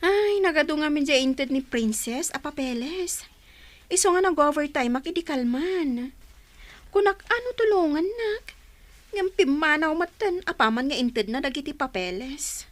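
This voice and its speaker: A woman's voice: high-pitched, expressive, normal speed. A woman playing a kind, earnest young working woman in an amateur serial drama. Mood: complaining.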